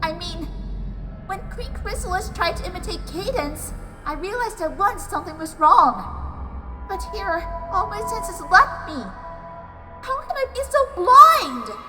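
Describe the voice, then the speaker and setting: A woman's voice: extremely high pitch, very animated, measured pace, over music, heavily acted. A woman voicing an earnest, bookish young female pony in a cartoon comic dub, with dramatic delivery. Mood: shocked, dismayed.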